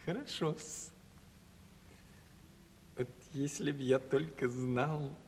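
A man's voice: medium pitch, fairly even intonation, slow, darkish tone, lightly acted. An actor playing an obsequious, simple young man in a radio play, speaking in a servile, naive, theatrical way. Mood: timid, ingratiating. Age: young adult. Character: obsequious, eager, simple young man courting his patroness. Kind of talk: theatrical 1970s Russian radio play acting. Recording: reverberant, noisy archival radio drama recording.

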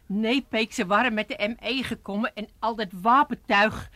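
Casting: practical, caring Amsterdam wife in radio comedy; higher-pitched, soothing, recorded dry. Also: female voice, steady tone, moderate pace, sharp tone, no room sound. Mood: consoling. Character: caring, practical working-class wife, consoling and obliging. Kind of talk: 1980s comic radio play acting.

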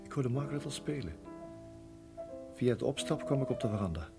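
An actor in a radio play voicing a reflective, middle-aged married farmer who reminisces in a measured, halting way. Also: male voice, mid-range voice, animated, over music, natural voice. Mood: sombre, halting. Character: weary, reflective married farmer recalling his years in Rhodesia.

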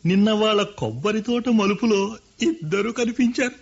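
An actor playing a sly older brother who gossips and conspires. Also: male voice, high-pitched, expressive, moderate pace, vocal fry, slightly rough, exaggerated voice. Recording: dull lo-fi radio recording.

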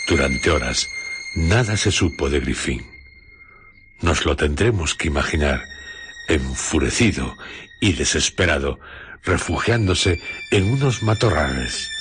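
A man with a Castilian accent narrating a scripted radio drama in a measured, solemn storytelling manner. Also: rumbling low, a bit bright, a little hiss or hum. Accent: Castilian Spanish. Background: music.

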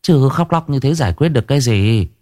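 A man voicing a brisk, practical wife who coaxes a crying relative to calm down. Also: mid-range voice, dry recording, clean studio sound, pitched above the performer's own voice, lightly acted. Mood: coaxing. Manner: brisk, coaxing.

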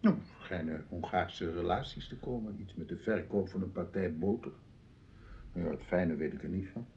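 An actor in a Dutch radio play, playing a courteous travelling accordion player with formal, florid manners. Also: male voice, low-pitched, moderate pace, darkish tone, a little hiss or hum. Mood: boastful. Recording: dull lo-fi radio play recording.

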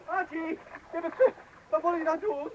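A man acting an excitable, boastful, naive amateur angler in a radio comedy serial, stammering and repeating words when flustered. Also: extremely high pitch, normal speed, highs cut off. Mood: frantic excitement. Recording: old radio recording with clear hiss.

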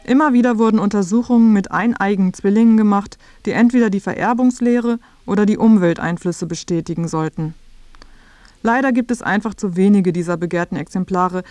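A woman reading scripted feature narration in a clear, explanatory way. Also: mid-range voice, brisk, warm tone, no room sound.

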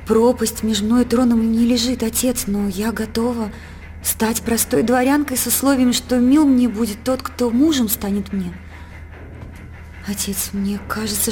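Actress as high-voiced earnest young princess. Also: female voice, darkish tone, slight background noise, natural voice. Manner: heartfelt, declamatory, formal verse-like phrasing. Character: young princess daughter, devout, dreamy, earnest yet proud. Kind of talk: theatrical stage acting for radio drama.

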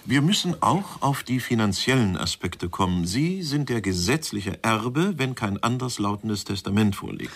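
An actor playing a police inspector in a German radio crime drama, questioning in a measured, dryly polite but insinuating way. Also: male voice, lowish voice, expressive. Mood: probing, dry.

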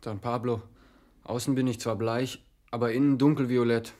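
A man's voice: medium pitch, fairly even intonation, moderate pace, darkish tone, a little hiss or hum, close to an everyday voice. An actor playing an ardent, naive young postman in a German radio drama, speaking earnestly and plaintively in poetic phrases. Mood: pleading. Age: young adult.

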